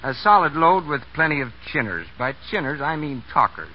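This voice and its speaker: A man's voice: on the high side, big pitch swings, brisk. An American man, a bus driver, speaking plainly and folksily as a guest in a scripted 1930s radio interview. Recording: dull, noisy 1930s radio recording.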